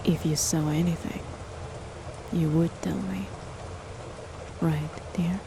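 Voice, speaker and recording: A woman playing a controlling, sinister chemist who is the listener's partner, in an ASMR horror roleplay, talking softly in a half-whisper, politely but with quiet threat. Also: sits low, takes it slowly. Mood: quietly menacing. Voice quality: soft, whispery delivery. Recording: steady rain noise behind the voice. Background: rain.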